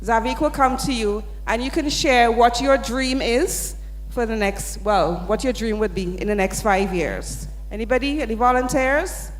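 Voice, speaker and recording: A woman's voice: on the high side, moderate pace. A woman, speaking as a sponsor's representative and leading an audience through an interactive exercise in an upbeat, coaxing way. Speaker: sponsor representative presenting at a student event. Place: large hall. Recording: hall reverb.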